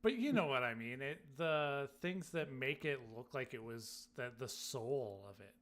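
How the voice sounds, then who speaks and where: A man's voice: medium pitch, expressive, a little slow, a bit bright. A man chatting casually as a podcast panelist. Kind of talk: casual chat.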